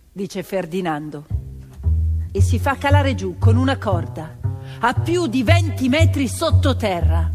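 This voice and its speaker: Proud peasant wife narrator, high and expressive, measured theatrical delivery over music. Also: female voice. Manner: expressive, measured storytelling, voicing quoted neighbours and relatives. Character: Italian peasant wife, proud storyteller of her menfolk's toil. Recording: music behind the voice.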